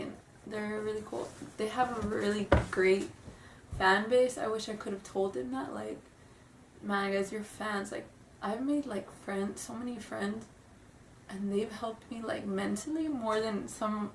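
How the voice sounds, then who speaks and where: A woman's voice: mid-range voice, unhurried, slight background noise. Nervous, stuffy young woman vlogging. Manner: nervous, rambling, apologetic, giggly. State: stuffy, recovering from a cold. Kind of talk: casual vlog chat. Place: room at home. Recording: roomy, distant home camera audio.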